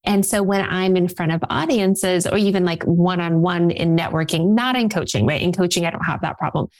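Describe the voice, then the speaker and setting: A woman's voice: fairly low pitch, a little fast. Chatty woman, Russian native, laughing often. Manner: energetic, chatty, laughs often, many fillers. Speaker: guest, executive coach. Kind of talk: casual interview talk.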